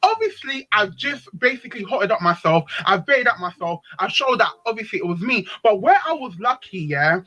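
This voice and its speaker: A young woman with a deep voice, telling a story on a live panel stream in a slangy, dramatic way. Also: big pitch swings, moderate pace, few pauses, pushed and bright, highs cut off. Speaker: panel speaker.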